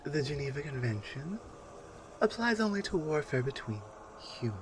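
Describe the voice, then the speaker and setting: A man playing a menacing, taunting non-human warrior captor in an ASMR roleplay, speaking deliberately and with command. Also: fairly high pitch, very animated, background noise. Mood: smug, menacing. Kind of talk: ASMR roleplay audio drama.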